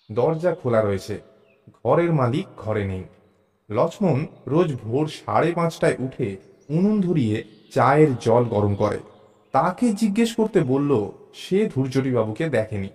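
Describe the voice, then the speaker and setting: Male narrator for a suspense audio story, mid-pitched, very unhurried, measured and dramatic. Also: a little mellow.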